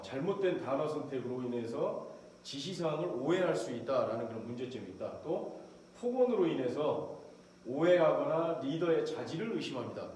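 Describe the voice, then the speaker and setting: A man's voice: medium pitch, a little mellow. A middle-aged man, a professor, explaining a point in a recorded lecture and acting out short quotes from soldiers now and then. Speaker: professor lecturing on military leadership. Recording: very echoey room, faint background noise.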